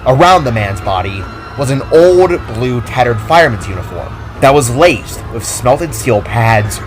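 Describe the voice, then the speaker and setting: A man of middle pitch tells an action story with lively rises and falls. His expressive narration keeps the scene moving. Gentle music plays beneath the reading. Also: a little slow, poor audio.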